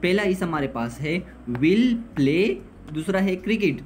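A man's voice: high voice, expressive, unhurried, slight background noise. A man giving an explanatory grammar lesson as a teacher, repeating points and posing questions that he answers himself. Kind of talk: explanatory lesson, translating examples.

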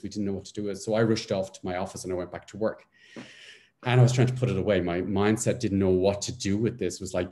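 Dublin Irish man in his forties, very low voice, intense storyteller, video call from home. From Ireland. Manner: intense, animated storyteller with hand gestures. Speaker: guest. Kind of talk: casual chat, personal story. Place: living room at home.

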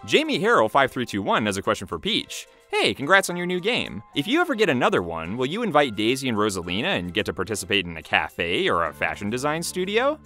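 A man's voice: mid-range voice, wildly swooping pitch, fast, hardly any pauses, pushed and bright, soft background music. A man voicing a friendly, chatty plumber hero who hosts a fan cartoon, upbeat and playful. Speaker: host.

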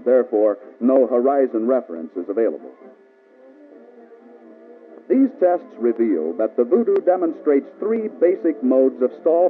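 American male narrator, scripted military instruction, measured and precise, thin band-limited old film sound, music behind. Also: medium pitch, phone-quality sound.

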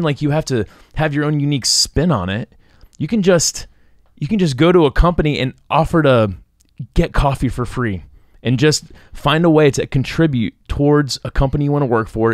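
A man in his thirties with a mid-pitched voice, chatting casually as a podcast co-host. The recording is dry and close, made in a studio. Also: animated, brisk, no room sound. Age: 30s. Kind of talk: casual conversational podcast talk. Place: small dark podcast studio. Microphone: close studio microphone on boom arm.

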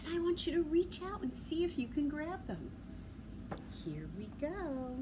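A middle-aged woman talking to young children as a library story time host, in an animated, sing-song way with simple questions. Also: high-pitched, a little slow. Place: large library room with big windows, indoors. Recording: clear background noise, room ambience.

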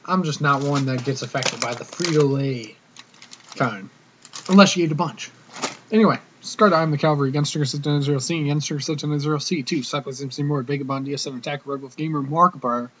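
A young man chatting casually to camera in a cocky, slangy, animated way, like a comedic vlogger. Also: on the high side, quick pace. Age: young adult. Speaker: vlogger, prank caller. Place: small room indoors. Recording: dull webcam audio with noticeable hiss.

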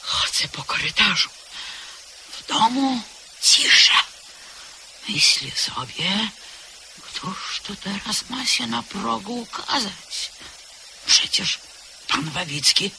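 A woman's voice: medium pitch, creaky, sharp tone, slight background noise, full character voice. An actress playing a frightened maidservant in a radio drama, telling her story in whispers that break into cries. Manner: breathless, swinging between whispers and cries. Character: frightened maidservant recounting a night of horror. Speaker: house servant. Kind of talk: 1970s-style radio drama acting.

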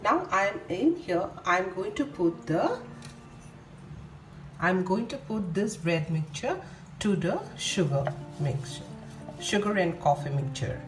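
Indian lady talking thru a recipe, kinda halting, bouncy pitch, roomy echo, music behind. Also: animated, recorded from a distance. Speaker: home cooking vlogger. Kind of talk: step-by-step recipe narration. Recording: roomy echoing home kitchen recording.

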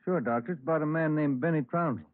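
An actor playing a plain-spoken police detective partner in a 1950s radio drama, businesslike and conversational. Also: male voice, a little high, fast, natural voice. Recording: tinny, band-limited old radio recording.

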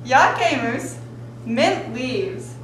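A teenage girl plays host of a homemade cooking show, high and unhurried, giggling and tripping over her words. The sound bounces around an echoey room picked up by the camera. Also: expressive, a bit bright, a little hiss or hum. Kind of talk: playful mock cooking show.

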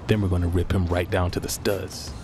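A man's voice: low voice, flat delivery, fairly quick, darkish tone, soft background music, natural voice. An actor playing a blunt, sardonic, down-on-his-luck man who wants revenge, in an audio drama. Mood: rueful, determined. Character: Eddie, crude, down-on-his-luck jobless man seeking revenge. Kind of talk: naturalistic audio drama acting.

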